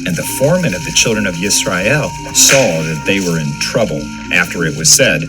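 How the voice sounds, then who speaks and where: A man's voice: deep voice, lively intonation, moderate pace, poor audio. A man narrating a dramatized scripture reading from a script. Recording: music under the voice.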